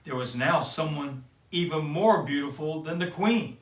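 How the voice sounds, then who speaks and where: A man's voice: fairly high pitch, unhurried, distant mic. A man hosting a children's show, talking cheerfully straight to kids and then reading a picture book aloud in a sing-song way. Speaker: children's storyteller and host. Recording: echoey room, away from the mic.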